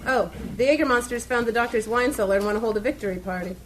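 A woman's voice: fairly high pitch, fast talker, a little hiss or hum, natural voice, poor audio. A woman playing a blunt, breezy young warrior princess in comic radio theatre. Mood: dry, unconcerned.

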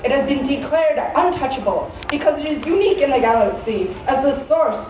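A woman's voice: sits high, lively intonation, brisk, a little hiss or hum. Woman narrating, grand and mock-serious. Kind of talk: scripted mock-epic narration. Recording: tinny, distant, very echoey recording.

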